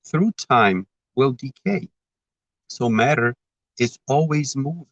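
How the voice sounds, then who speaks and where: A man with a Brazilian Portuguese accent speaks in a thoughtful, wandering way, circling back to his point. His voice sits at an ordinary middle pitch. The recording sounds dull and lo-fi, with a dry, close feel and no room echo. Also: a bit bright. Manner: digressive, thoughtful, self-aware about repeating himself. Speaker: spiritist speaker. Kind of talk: reflective spiritual talk.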